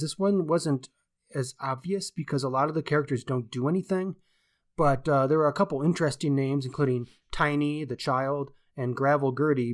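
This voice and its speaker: Opinionated man discussing books, emphatic delivery. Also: mid-range voice. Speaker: podcast co-host and book reviewer. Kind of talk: casual book discussion.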